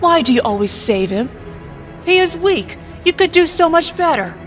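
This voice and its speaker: A woman's voice: very high voice, sing-song, moderate pace, soft background music. A woman playing a sadistic female villain warrior in an audio drama, purring and taunting smugly. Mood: scornful taunting.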